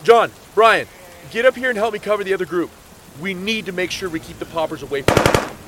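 A man's voice: high-pitched, brisk, fairly bright tone. A man playing the focused, businesslike leader of a zombie-survivor colony in an audio drama. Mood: commanding. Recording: very noisy, gunfire and vehicles behind.

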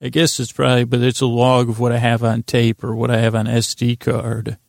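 A man rambles through a bedtime monologue in a gravelly, creaky voice with little rise and fall. He hesitates, repeats himself and wanders off into asides. The recording is dry and close. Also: mid-range voice, completely flat delivery, no room sound. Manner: halting, repetitive, digressive, self-interrupting. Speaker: bedtime podcast host.

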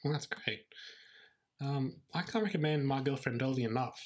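A man hosting a casual podcast, his voice croaky from illness, earnest and self-deprecating. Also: mid-range voice, moderate pace. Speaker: podcast host.

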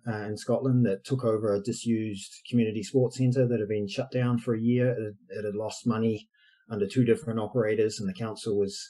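A man's voice: fairly low pitch, dark tone. A man from New Zealand with a New Zealand accent, a basketball coach and social entrepreneur explaining his work in a podcast interview, thoughtful with many fillers.

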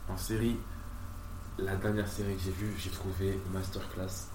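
A man's voice: sits low, little pitch movement, normal speed. A young man chatting casually with his sibling as a podcast guest, speaking in informal, colloquial slang. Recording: roomy, distant mic, noisy background.

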